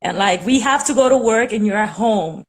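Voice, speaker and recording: A young woman from Puerto Rico speaks English with a Puerto Rican accent, Spanish being her first language. She chats over a video call at a mid-range pitch, rambling and filling her sentences with fillers. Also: lively intonation, moderate pace, poor audio. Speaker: caller on a live stream. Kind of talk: casual chat.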